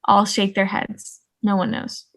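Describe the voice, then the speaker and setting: Even-toned woman narrator. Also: mid-range voice, unhurried, a little hiss or hum. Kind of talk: reading stage directions at a table read. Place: online video call table read.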